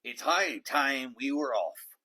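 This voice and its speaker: A man's voice: mid-range voice, expressive, slow, bright, forceful tone, slightly rough, heavily acted. A man voicing a blustering pirate captain who hollers commands in pirate talk for a storybook. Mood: gruff, commanding.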